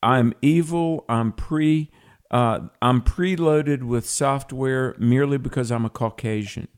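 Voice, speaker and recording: A man's voice: medium pitch, measured pace, clear, resonant tone. A man hosting a radio interview, putting short prompting questions to his guest. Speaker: radio host.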